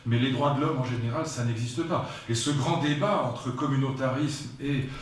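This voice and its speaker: A man's voice: medium pitch, normal speed. A man in his sixties from France giving a public philosophy lecture, talking in a digressive, emphatic way with asides to the audience. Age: 60s. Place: lecture hall. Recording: very echoey hall, voice picked up from far off.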